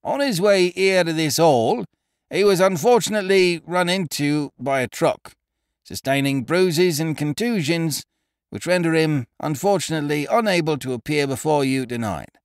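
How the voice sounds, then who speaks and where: A man voicing a nervous, pompous male theatre announcer who calls out loudly and drops his H's. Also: clear, resonant tone, dry recording, clean studio sound, lightly acted. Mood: flustered announcing. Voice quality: loud, high and drawn-out, dropping his H's.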